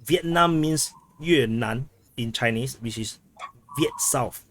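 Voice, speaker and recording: Halting man explaining over a call. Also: fairly bright tone. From Southeast Asia. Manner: halting, restarts often, explains with comparisons. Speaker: chat participant. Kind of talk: casual group chat. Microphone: video call. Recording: low-quality backup recording.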